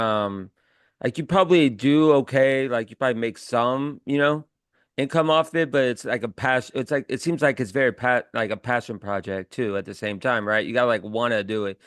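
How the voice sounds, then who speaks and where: Brief: male podcast host, smooth clear voice, casual and rambling, dry close sound. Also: mid-range voice, moderate pace, a bit bright, no echo. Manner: rambling, heavy on fillers.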